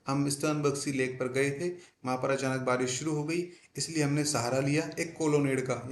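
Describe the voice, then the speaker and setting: A man with a somewhat high voice teaches a class and works through a poem. He poses questions and then answers them himself, and he slows right down to read the English lines aloud. The room has a noticeable echo. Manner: reads English lines slowly and deliberately. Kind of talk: Hindi classroom lecture explaining an English poem. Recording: echoey room.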